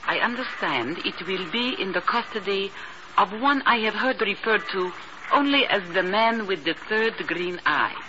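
Haughty, scheming informant, animated delivery. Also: female voice, mid-range voice, normal speed. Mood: coldly determined. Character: proud, scheming, coolly threatening female informant. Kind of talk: 1950s radio drama acting. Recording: noisy, dull 1950s radio recording.

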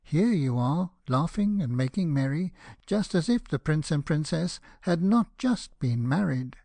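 Pompous storybook rocket, acted by a man, self-obsessed, indignant, self-pitying, declaiming, dark-toned, dry close sound. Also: fairly high pitch, lively intonation, a little slow, gravelly, no echo, pitched above the performer's own voice, heavily acted. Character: pompous, self-obsessed, easily offended male firework rocket. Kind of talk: dramatized storybook reading.

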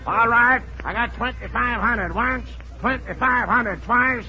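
An actor playing a brisk, businesslike auctioneer in a 1940s radio comedy. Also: male voice, very high voice, music in the background. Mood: brisk calling. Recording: muffled 1940s radio rehearsal recording.

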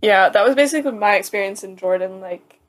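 A young woman from the United States talks in an easy, casual chat. Her voice sits at a middle pitch, and her sentences come out loose and full of "like" fillers. She breaks into a laugh every so often. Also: fast. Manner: chatty, frequent "like" fillers, laughs while talking. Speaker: student.

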